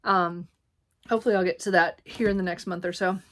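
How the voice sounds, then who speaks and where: A woman chatting casually about her new books, rambling with many ums and self-corrections, a little hoarse and clearing her throat. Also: fairly low pitch, fairly even intonation, fairly quick. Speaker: book vlogger. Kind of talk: casual book haul chat.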